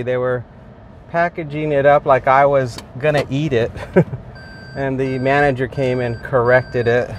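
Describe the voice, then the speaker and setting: A man giving casual running commentary while driving his car on delivery, thinking out loud with fillers. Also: mid-range voice. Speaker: delivery driver vlogger. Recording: clear road and car noise. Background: car and street noise.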